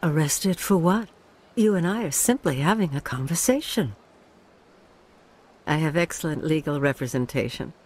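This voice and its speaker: An actor playing a smooth-talking, calculating criminal in a video game, with polished, formal speech. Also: male voice, on the high side, normal speed, long pauses. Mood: calculating, composed. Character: smooth-talking, calculating crime partner with a business proposition. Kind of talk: video game character dialogue.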